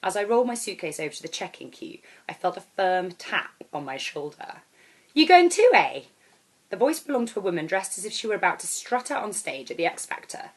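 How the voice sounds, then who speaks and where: A woman reading aloud as an author, in expressive storybook narration. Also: lowish voice, fast, hardly any pauses. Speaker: author reading own novel.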